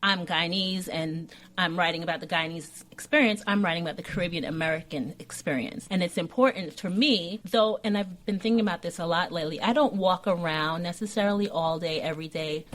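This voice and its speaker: A young adult woman talking as a podcast guest, earnest and animated, with quick asides. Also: on the low side. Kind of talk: casual podcast conversation.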